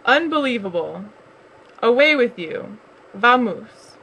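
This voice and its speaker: Woman voicing a grumpy bear, gruff, curt, storybook reading, bright tone. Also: a little high, big pitch swings, takes it slowly, slight background noise, heavily acted, poor audio. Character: grumpy male bear who hates visitors.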